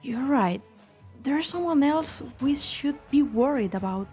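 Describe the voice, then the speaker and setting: A woman's voice: sits high, lively intonation, unhurried, darkish tone, faint music behind. A woman playing a wary lab colleague in a dramatic game fan dub. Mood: wary, insistent.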